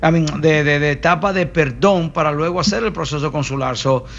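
A man's voice: on the high side, a little fast, gravelly, fairly bright tone, muffled recording. A man giving casual immigration advice on a call-in radio show, teasing and dropping in English words.

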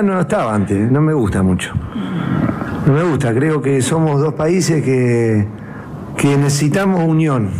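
A soccer coach, a man with an Argentine accent, giving an informal, conciliatory and plain-spoken statement. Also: a little high. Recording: noisy clip with vehicle sounds.

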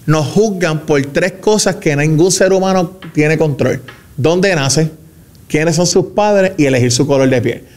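A Puerto Rican man speaking as a government spokesman in a radio interview, measured and emphatic, with fillers and restarts. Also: fairly high pitch, a little mellow. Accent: Puerto Rican Spanish. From Puerto Rico.

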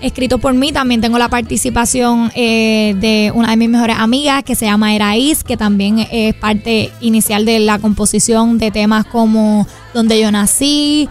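Young woman, Puerto Rican accent, slightly high voice, talkative, informal interview style, music faintly behind. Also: steady tone, normal speed, hardly any pauses. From Puerto Rico. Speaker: singer-songwriter being interviewed. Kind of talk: conversational promotional radio interview.

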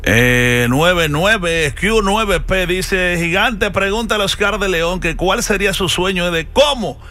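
A man co-hosting a radio show in a measured way, reading out listener questions. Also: sits high, bright, forceful tone. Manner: measured, reads out messages.

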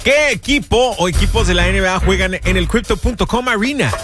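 A man's voice: high-pitched, a little fast. A man hosting a call-in radio quiz, teasing the callers with a playful, sing-song delivery. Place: radio studio. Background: background music.

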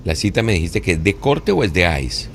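A man's voice: deep voice, big pitch swings, a little fast, fairly bright tone, a little hiss or hum. A man giving legal advice to callers on a live radio show, questioning and explaining.

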